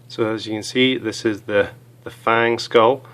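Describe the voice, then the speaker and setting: A hoarse man with a cold, chatting casually as he reviews small gear items, with many fillers. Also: fairly low pitch, steady tone, a little slow, a bit bright.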